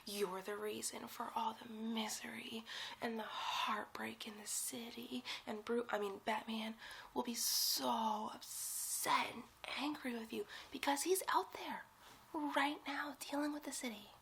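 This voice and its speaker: A woman playing a young, giddy, boastful superhero sidekick who schemes and gossips in a breathy whispered ASMR role play. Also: on the high side, fairly even intonation, unhurried, a bit bright, a little hiss or hum, poor audio. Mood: giddy scheming. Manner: conspiratorial, gossipy, rambling.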